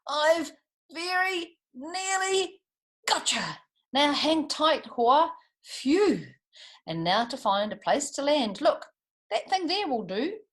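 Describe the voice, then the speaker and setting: A woman's voice: sits high, very animated, slow, voice pushed up, heavily acted. A matey male albatross with a New Zealand accent, acted by a woman reading aloud. He is hearty and urgent, calling out in a lifted voice as a storybook rescuer. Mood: urgent effort.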